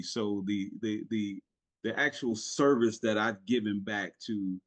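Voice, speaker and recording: Guest spot: middle-aged man with a lowish voice, earnest and halting, retired navy chief telling his story. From South Carolina, raised in Italy. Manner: earnest, lots of fillers and restarts. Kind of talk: casual podcast interview.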